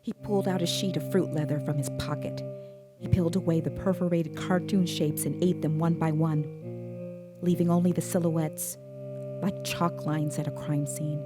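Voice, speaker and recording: An actress playing a composed, observant female interviewer, narrating an audio drama in a measured, precise way. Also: sits low, fairly even intonation, music in the background, lightly acted. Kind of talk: scripted first-person dramatic narration.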